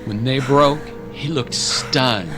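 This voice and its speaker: Guy narrating an audio drama, really unhurried and serious, loud score behind him. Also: mid-range voice. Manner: measured, solemn storytelling. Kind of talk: dramatic scripted story narration. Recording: dramatic music bed. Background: music.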